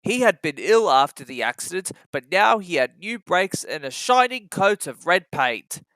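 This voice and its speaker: A storyteller narrates a children's tale from a script. The voice is bright and animated, with middling pitch that moves freely. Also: normal speed, no room sound, poor audio.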